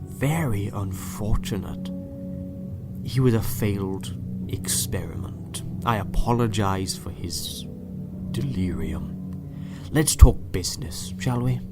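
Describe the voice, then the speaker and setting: A man's voice: on the low side, animated, slow. A man acting a sinister, urbane doctor in an audio drama, polite, theatrical and condescending, with cool menace. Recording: loud music behind the voice. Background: loud music.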